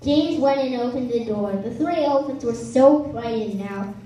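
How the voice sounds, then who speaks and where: Mellow woman narrator in a very echoey room. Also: fairly high pitch, moderate pace. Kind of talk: scripted story narration. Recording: echoey room, distant mic, faint noise.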